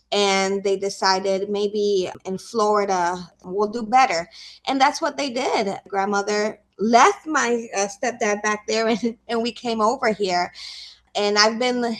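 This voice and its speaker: A woman's voice: medium pitch, fairly bright tone. A woman in her late twenties from the Caribbean, a podcast guest talking casually and chattily with plenty of fillers. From Caribbean, Saint Croix and Puerto Rico. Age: 20s. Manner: chatty, laughs easily, many fillers. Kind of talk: casual interview conversation.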